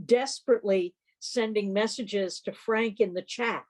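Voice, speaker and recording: A woman's voice: medium pitch, unhurried. A woman chatting informally as host of an online meeting over a video call.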